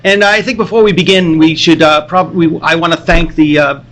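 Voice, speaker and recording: A man hosting a podcast live at a convention, chatting casually and haltingly, often repeating himself. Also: a little high, fairly even intonation, a bit bright. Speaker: podcast host. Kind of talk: casual chat at a live recording. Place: comic convention.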